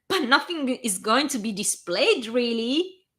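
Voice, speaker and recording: A woman's voice: a little high, big pitch swings, measured pace, pushed and bright, pitched above the performer's own voice, exaggerated voice. An Italian woman voicing a playful, coaxing young witch.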